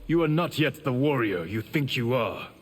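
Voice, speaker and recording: An actor playing a wise, stern male master warrior in a video game, calm and lecturing. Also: mid-range voice, normal speed, long reverb tail, slight background noise, lightly acted. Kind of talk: video game character voice acting.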